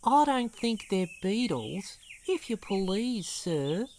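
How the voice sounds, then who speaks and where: Man putting on a timid lady dinosaur, quiet little voice, raised pitch, slow and lilting. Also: very animated, slight background noise, full character voice. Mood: meek polite. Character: meek, patient, polite female dinosaur housekeeper.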